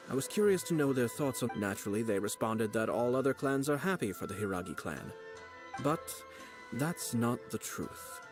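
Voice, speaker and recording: An actor playing a calm, refined young nobleman in a video game, speaking in a measured, formal way. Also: medium pitch, natural voice.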